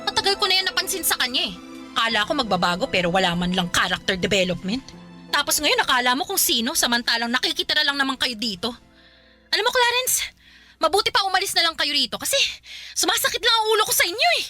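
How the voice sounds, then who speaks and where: An actress playing a bossy older sister who scolds sharply, in radio drama style. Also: female voice, high-pitched, very animated, fast talker, pushed and bright, soft background music, full character voice. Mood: scolding. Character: irritated older sister, bossy homeowner woman.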